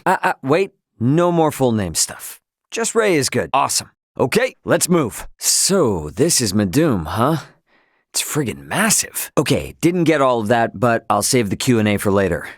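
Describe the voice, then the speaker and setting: A man's voice: a little high, no echo, clean studio sound, exaggerated voice. A male actor playing a young, brash, easygoing but stubborn spaceship captain in a video game, with a casual, slangy, wry manner. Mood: upbeat, brisk. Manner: casual slangy, wry, loosely drawled asides. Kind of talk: English video game voice acting.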